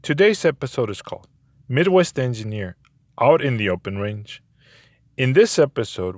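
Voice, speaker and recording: A man hosting an interview podcast. He often restarts a thought and asks several questions in a row. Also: medium pitch. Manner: talks slowly, stacks up questions with restarts. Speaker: podcast host. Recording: roomy, muffled audio with faint noise.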